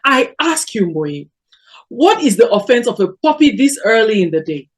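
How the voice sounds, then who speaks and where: A woman reading aloud, voicing a quarrelsome young village wife who scolds in a shrill, sneering voice. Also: animated, takes it slowly, darkish tone. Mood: shrill quarrel. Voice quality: shrill, sneering sing-song.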